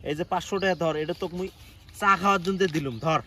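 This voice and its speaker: A man's voice: high voice, pushed and bright. A man acting a shameless, pushy moocher friend in a comedy sketch, chummy and insistent, with a northern Bengali regional dialect. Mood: indignant, pushy. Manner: insistent, chummy, fast-talking. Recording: faint outdoor background noise with birdsong.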